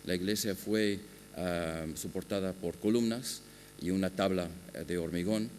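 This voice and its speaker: Man presenting at a conference, very low voice, hesitant with many fillers, long hall reverb, faint hum. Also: a little mellow. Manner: halting, many filler "ah"s and restarts. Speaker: architect speaking on a panel.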